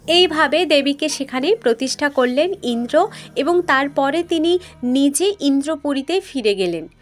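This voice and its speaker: Female storyteller, high pitch, scripted narration of myth.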